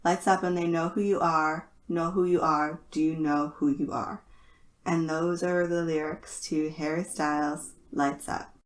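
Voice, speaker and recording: A woman's voice: sits low, fairly even intonation, a little slow. A woman co-hosting a podcast in a casual, playful, self-deprecating way, recorded with a roomy echo. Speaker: podcast co-host. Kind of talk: casual chat and reading lyrics aloud. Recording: roomy, echoey sound.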